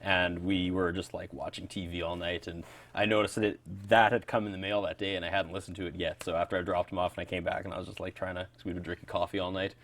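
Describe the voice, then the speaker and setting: A man with a low voice hosts a music interview in a casual, conversational style. He talks like an enthusiastic fan, relaxed in his wording and informal. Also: quick pace, few pauses. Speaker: music show host.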